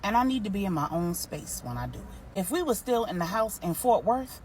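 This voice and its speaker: A woman voicing a proud, independent older matriarch in a story, blunt, folksy and measured. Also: medium pitch, very animated, a little mellow, a little hiss or hum, close to an everyday voice. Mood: resolute.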